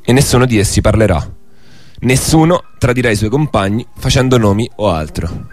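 A man's voice: mid-range voice, expressive, a little fast. Male radio narrator over music. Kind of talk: scripted documentary narration. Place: radio studio.

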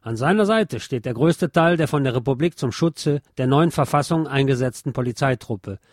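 A man's voice: mid-range voice, expressive, normal speed, no echo, clean studio sound. A man reading a scripted historical narration aloud as a narrator.

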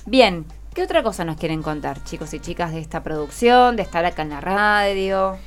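A woman's voice: lowish voice, lively intonation, moderate pace, faint music behind. A woman with a Rioplatense Argentine accent, hosting a casual radio interview in an encouraging way and often checking in with short tag questions. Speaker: radio host interviewing schoolchildren. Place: radio studio. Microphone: close studio microphone.

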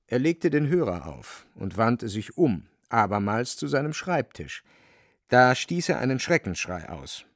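Casting: male narrator for literary radio drama, gravelly mid-range voice, dramatic delivery, dry close sound. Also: mid-range voice, dry recording.

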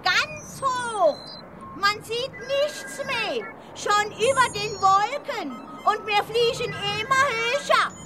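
Very high-voiced chatty Saarland housewife in space. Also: female voice, animated, takes it slowly, over loud music, heavily acted. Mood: eager, naive. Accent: Saarland German. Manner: colloquial, unfazed, chatty. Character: chatty, naive, homely female amateur astronaut. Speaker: radio drama actor. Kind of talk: German radio comedy acting.

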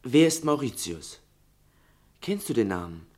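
An earnest, sensitive schoolboy in a radio drama. He is persistent and eager, probing with halting questions at a medium male pitch. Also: very animated, darkish tone. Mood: urgent, curious. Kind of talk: German radio play acting.

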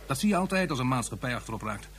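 A man's voice: mid-range voice, expressive, racing, a bit bright, slight background noise, lightly acted. An actor playing a dry, lecturing male aide in a radio play, matter-of-fact in manner.

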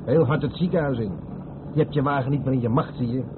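A man's voice: medium pitch, fast talker, close to an everyday voice. An actor playing a seasoned truck driver, wry and firm as he cautions his mate in a radio play. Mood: wry, cautionary. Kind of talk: old radio play dialogue. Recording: tinny radio recording with loud vehicle noise.

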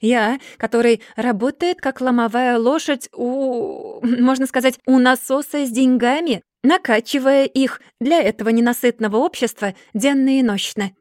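A woman voicing a distracted, gloomy rich gentleman who mumbles and then rants in a storybook reading. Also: mid-range voice, moderate pace, no room sound, clean studio sound, close to an everyday voice. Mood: furious ranting. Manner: absent-minded, mumbling, then bursting into furious ranting.

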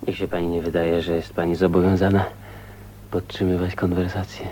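An actor playing a gruff, chivalrous maverick in a radio drama, talking in a blunt, wry, colloquial way. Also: male voice, deep voice, level tone, a little fast, dark tone, a little hiss or hum, natural voice. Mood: relaxed, wry. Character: gruff, casual, chivalrous maverick man, unbothered by reputation.

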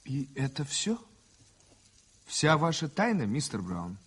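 An inquisitive gentleman in a radio drama. His medium-pitched voice is husky, and he probes with courteous curiosity. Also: huge pitch swings, slow, heavily acted. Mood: curious. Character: curious, politely probing male social acquaintance. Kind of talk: 1980s radio play acting.

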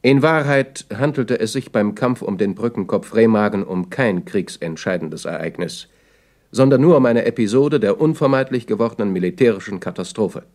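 A man's voice: fairly low pitch, lively intonation, normal speed, a little mellow. A man narrating a 1960s German radio play as a sober chronicler, with formal scripted announcing.

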